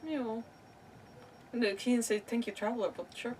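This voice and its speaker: Higher-voiced woman streamer, a bit distant. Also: fairly even intonation, moderate pace, a little mellow, slight background noise. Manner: rambling, self-interrupting. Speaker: game streamer. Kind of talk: casual livestream chat and reactions over a game.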